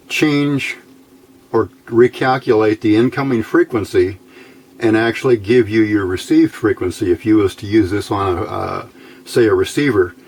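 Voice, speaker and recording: Low-voiced man, folksy hobbyist demonstration. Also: a little mellow, slightly rough. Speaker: electronics hobbyist host. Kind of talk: casual hands-on demonstration.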